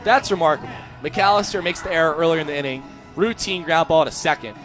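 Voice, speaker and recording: A man's voice: on the high side, fast talker, fairly bright tone, faint music behind. An American man working as a color commentator on a live baseball broadcast, giving emphatic, opinionated analysis.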